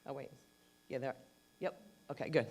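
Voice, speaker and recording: A woman in her fifties speaking loudly and with self-deprecating humor as she tells her own story to an audience. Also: extremely low pitch, normal speed, a little hiss or hum. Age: 50s. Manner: loud, joking, self-deprecating. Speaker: patient speaker. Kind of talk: personal story at a public event.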